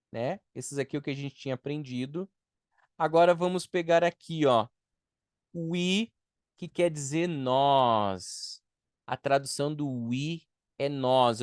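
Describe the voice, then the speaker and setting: Brazilian male language teacher, native Portuguese speaker. Smooth, unhurried, didactic delivery in a dry, close sound. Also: on the high side, no echo. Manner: didactic, step-by-step with repetition and check-in tags. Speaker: English teacher. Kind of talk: online beginner language lesson.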